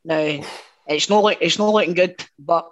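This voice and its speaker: Brief: man, about forty, fairly high pitch, blunt sweary podcast banter. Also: moderate pace, highs cut off. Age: 40s. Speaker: podcast co-host. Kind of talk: casual chat.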